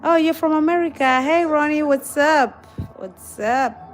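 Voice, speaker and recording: Tired woman on a slow livestream. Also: high-pitched, faint music behind. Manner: reads out chat comments and greets viewers. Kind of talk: casual livestream chat.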